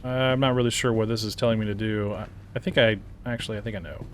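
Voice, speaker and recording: A man playing and reviewing a game, thinking aloud in casual, laid-back, slightly halting commentary. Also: lowish voice, fairly even intonation, slight background noise.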